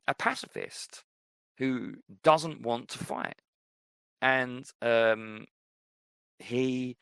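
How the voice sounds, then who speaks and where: A man's voice: mid-range voice, creaky, fairly bright tone, dry recording. A man co-hosting a podcast, chatting casually and rambling with many fillers about films. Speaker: podcast co-host.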